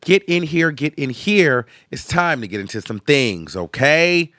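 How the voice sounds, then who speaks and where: A young man talks casually to his viewers in a chatty, animated way, often tagging his points with "okay". His voice is nasal and congested from sinus trouble. The sound is dry and close, with no room around it. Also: fairly high pitch, measured pace, dry recording. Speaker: host. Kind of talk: casual reaction video chat.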